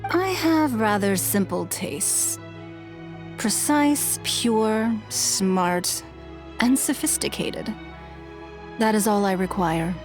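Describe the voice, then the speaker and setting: An actress voicing an elegant, haughty noblewoman in a fantasy video game, refined, measured and dryly condescending. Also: medium pitch, expressive, music in the background. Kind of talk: video game character acting.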